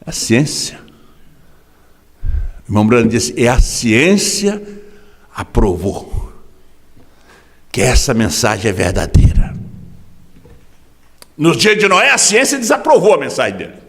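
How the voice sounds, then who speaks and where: A man preaching a sermon as pastor in a Brazilian Portuguese accent, impassioned, swinging from hushed drops to sudden shouts. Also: medium pitch, huge pitch swings, slow pace, pauses often, in a large hall. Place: large church hall.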